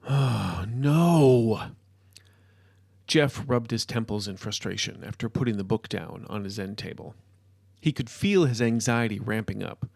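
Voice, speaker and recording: Gravelly man narrating a short story. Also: lowish voice, moderate pace, dry recording, clean studio sound. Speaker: podcast host and author reading his own story.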